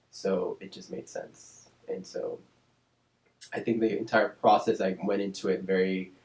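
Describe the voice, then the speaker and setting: Low-voiced man, thoughtful and measured. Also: darkish tone, reverberant, rough recording. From Oakland, California. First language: Spanish. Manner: thoughtful, measured, many ums and restarts. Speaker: interview guest, speech-language pathologist. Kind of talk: reflective casual interview.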